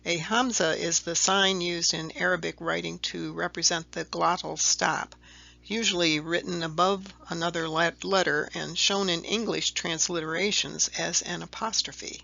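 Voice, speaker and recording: Gravelly, bright-toned methodical explainer. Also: mid-range voice, fairly even intonation, moderate pace, dull audio. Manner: methodical, step-by-step, with occasional self-corrections. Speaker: video presenter explaining a letter-number table. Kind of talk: slide-by-slide explanatory voice-over.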